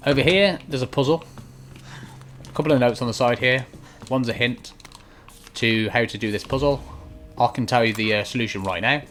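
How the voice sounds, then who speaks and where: A man's voice: mid-range voice, fairly bright tone. A man giving casual video game walkthrough commentary, explaining each step as he goes. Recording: faint keyboard and click noise.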